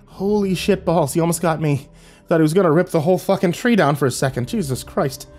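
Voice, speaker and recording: A man's voice: fairly high pitch, fairly quick, darkish tone, faint music behind, pitched above the performer's own voice, natural voice. A man voicing a foul-mouthed young man in an audio horror story, bitter, scared and irritable, snapping out insults. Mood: shaken relief. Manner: snapping, hissing, growling, sarcastic insults.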